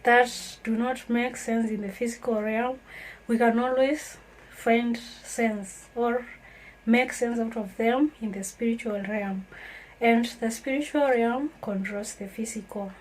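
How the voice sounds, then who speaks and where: Slow-spoken woman, earnest vlogger. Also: on the high side, fairly even intonation, a little hiss or hum, rough recording. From Kenya. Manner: earnest, unhurried, reflective. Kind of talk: casual talk to camera.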